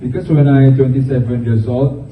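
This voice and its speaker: Halting stand-up comic at an open mic. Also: male voice, mid-range voice, fairly even intonation, background noise. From Indonesia. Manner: halting, filler-heavy delivery with English phrases mixed in. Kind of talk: stand-up comedy. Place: small open mic venue, indoors. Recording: roomy, distant, tinny phone-like audio.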